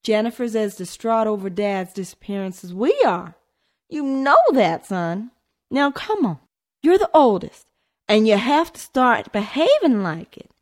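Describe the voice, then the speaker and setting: A woman voicing a mother of about forty who calms and gently scolds her son. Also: medium pitch, unhurried, pitched above the performer's own voice. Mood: soothing. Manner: soothing, scolding. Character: mother around forty, firm but gentle.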